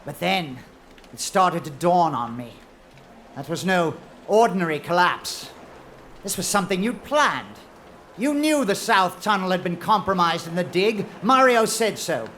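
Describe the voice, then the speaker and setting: A man playing a bitter, obsessive treasure hunter in a macabre audio drama, speaking with deliberate theatrical menace. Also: sits high, lively intonation, a bit bright, slight background noise. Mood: bitter, accusing. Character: bitter, obsessive male treasure hunter, vengeful rival named Jacob.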